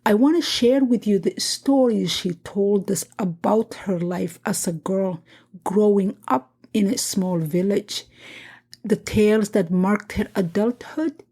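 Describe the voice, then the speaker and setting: A middle-aged woman from Somalia, narrating her own family memoir in a reflective, unhurried way. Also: medium pitch, dark tone, breathy edge. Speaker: podcast host and narrator. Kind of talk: personal memoir storytelling.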